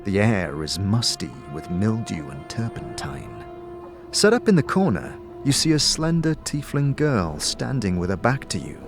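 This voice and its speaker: A man's voice: lowish voice, wildly swooping pitch, a little slow, over music. A man narrating a fantasy audio drama in the second person, in a descriptive, dramatic storytelling manner.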